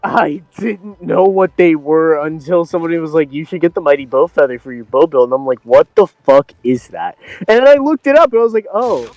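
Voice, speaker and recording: High-pitched young American man, slangy banter. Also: lively intonation, brisk, hardly any pauses, darkish tone, no echo, poor audio. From Florida, United States. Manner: profane, slangy, repeats phrases for emphasis. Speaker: game streamer in a group call. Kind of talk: casual animated banter among friends during a game stream. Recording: music behind the voice. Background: music.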